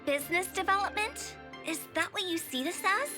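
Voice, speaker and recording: A woman's voice: very high-pitched, expressive, moderate pace. A woman voicing a playful, eccentric young funeral parlor director, theatrical and teasing, in an anime game. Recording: game music under the voice. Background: game music.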